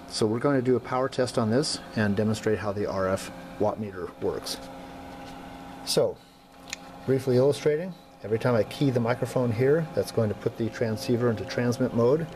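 Lower-voiced man explaining test gear. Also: background noise. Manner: methodical, explanatory, occasional throat clearing. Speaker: electronics instructor. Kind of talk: hands-on equipment demonstration. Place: indoor workroom.

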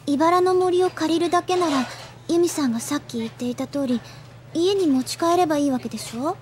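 High-voiced, sensible schoolgirl, played by a woman. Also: fairly quick, slight background noise, close to an everyday voice. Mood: puzzled, reasoning. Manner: matter-of-fact, gently tart. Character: sharp, sensible, polite teenage schoolgirl. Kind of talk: anime audio drama acting.

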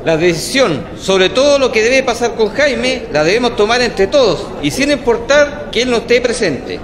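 Radio drama role: indignant fisherman, male actor, high-pitched voice, reverberant hall, music underneath. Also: very animated, moderate pace, a crowd in the background. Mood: insistent. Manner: argumentative, emphatic. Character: indignant fisherman accusing his absent union leader.

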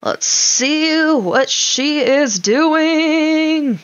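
A woman's voice: sits high, expressive, extremely slow, lo-fi sound. A woman giving casual let's play commentary, chatting to the game's characters and muttering to herself with casual swearing. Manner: talks to the game characters, muttering to self. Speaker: gaming video host.

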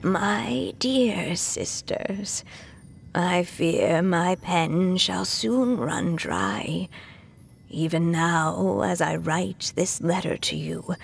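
An actress playing a fond, aging woman storyteller in a video game, reading a farewell letter in a gentle, reflective way. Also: lowish voice, creaky, a little hiss or hum, full character voice. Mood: tender farewell. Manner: slow, reflective, tender.

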